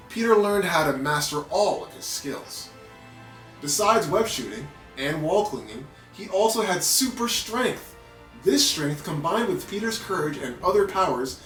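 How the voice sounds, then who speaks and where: A man with a fairly high voice reads a story for children. His narration is animated and playful, recorded in an echoey room with soft music behind it. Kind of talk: animated read-aloud narration for kids, with playful asides. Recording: roomy echo with background music.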